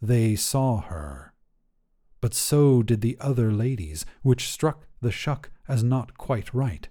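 Slow, mellow male story narrator. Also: mid-range voice, expressive. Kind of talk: scripted literary fiction narration.

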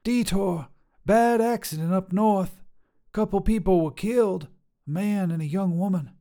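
A man's voice: high voice, a little slow, mellow, voice pushed up. A man doing a sly demon woman trucker. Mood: sly teasing. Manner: drawling, teasing, folksy. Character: wiry gravel-voiced woman trucker demon, mocking.

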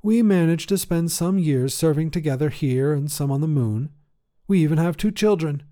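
A man voicing a poised, two-hundred-year-old woman space commander in a science fiction story, speaking in a measured, formal, gently wistful way. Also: on the high side, pitched above the performer's own voice, close to an everyday voice. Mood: fond, reflective. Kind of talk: acted dialogue in science fiction story reading.

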